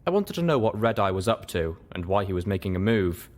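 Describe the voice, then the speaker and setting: A man reading first-person story narration as an audiobook, in an expressive, dramatic way. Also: low-pitched, a little fast. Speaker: audiobook reader.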